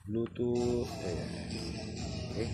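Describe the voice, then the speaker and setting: A man with a Filipino accent giving a casual, halting, explanatory repair walkthrough as a technician hosting his own video. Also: loud music behind the voice. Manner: halting, explanatory, with frequent check-in tags. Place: repair spot beside a highway. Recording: noisy highway traffic behind voice. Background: loud vehicle and traffic noise.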